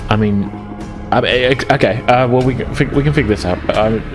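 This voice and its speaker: A man's voice: medium pitch, a little fast, over music. A man acting as game master, narrating a tabletop game in an improvised, hesitant, conversational way.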